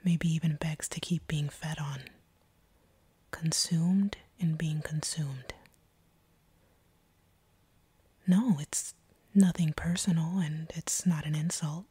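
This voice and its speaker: A seductive female vampire, played by a woman, toys with captive prey in a low, breathy voice. The delivery is slow and dark, teasing with a sadistic edge. It is an intimate roleplay, murmured right up at the microphone. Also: steady tone, no echo, heavily acted. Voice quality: breathy whisper. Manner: slow, teasing, predatory purr. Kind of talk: intimate whispered audio roleplay. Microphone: close microphone, dry.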